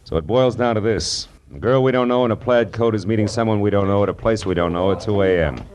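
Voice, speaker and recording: An American actor playing a wry, world-weary private detective in 1940s radio style, sardonic and full of dry delivery. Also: male voice, on the low side, fast, darkish tone, close to an everyday voice. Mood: sardonic, skeptical. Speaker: actor, lead and narrator. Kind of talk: 1940s radio detective acting, narration and dialogue. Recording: old radio recording with music behind.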